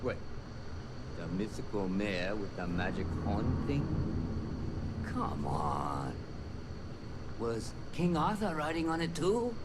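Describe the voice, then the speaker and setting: A genial, mercenary male space pirate for a video game. He speaks with courtly manners and big swings of pitch, over clearly audible background noise. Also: takes it slowly, long pauses. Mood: incredulous. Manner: courtly, expressive.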